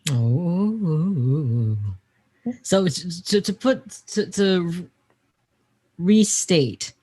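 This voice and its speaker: Low-voiced woman at a tabletop game. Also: animated, drawn-out words, dark tone, breathy edge. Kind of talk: casual game chat.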